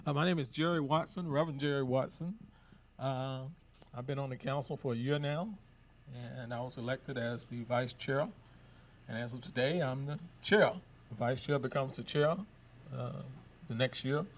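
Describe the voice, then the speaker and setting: A reverend chairs a council meeting and introduces himself haltingly, filling his pauses with uhs. His voice sits at a middle pitch for a man. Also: fairly even intonation. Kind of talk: plain self-introduction.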